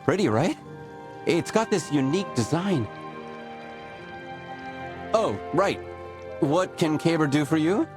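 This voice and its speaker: An actor playing a chatty, distractible, cheerful helper in a video game, breezy and expressive. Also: male voice, on the high side, takes it slowly, long pauses, over music. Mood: chatty, distracted. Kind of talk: video game character acting.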